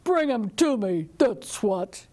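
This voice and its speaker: A commanding forest spirit booms out orders from deep in the trees, put on by a woman storyteller. The voice is firm and a little distant. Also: big pitch swings, takes it slowly, mellow, heavily acted. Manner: commanding, booming. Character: booming male forest spirit.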